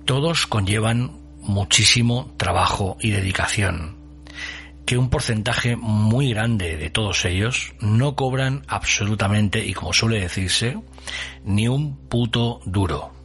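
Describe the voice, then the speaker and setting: Low-voiced man hosting a horror podcast. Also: slow pace, a bit bright, a little hiss or hum. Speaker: podcast host. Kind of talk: scripted introduction narration.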